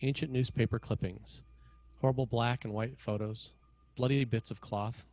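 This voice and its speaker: A man's voice: mid-range voice, fairly even intonation, moderate pace, a little mellow. A man reading scene headings and stage directions as the narrator of a screenplay table read.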